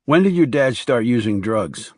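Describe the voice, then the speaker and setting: A man's voice: mid-range voice, lively intonation, normal speed, dry recording, clean studio sound, lightly acted. A man voicing a guarded, blunt male assassin in terse audiobook dialogue. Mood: probing. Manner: terse, questioning.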